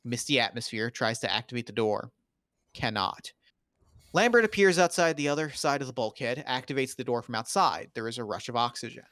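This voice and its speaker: A man's voice: mid-range voice, animated, a little fast, fairly bright tone, no echo, studio quality. A man reading screenplay stage directions in a dramatic table read.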